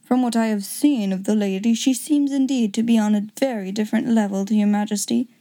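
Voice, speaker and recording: A woman voicing a cool, reserved gentleman detective from a storybook, with a cold, formal and measured manner. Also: on the high side, close to an everyday voice. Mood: cold, polite. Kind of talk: storybook character reading.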